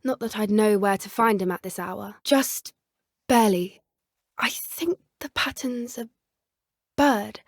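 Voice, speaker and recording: A woman's voice: mid-range voice, a little slow, long pauses, dry recording, studio quality. A woman with an English accent playing an earnest, plucky teenage schoolgirl witch who loves creatures, speaking politely in video game dialogue. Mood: earnest, thoughtful. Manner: polite, earnest, softly eager. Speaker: video game character voice actor.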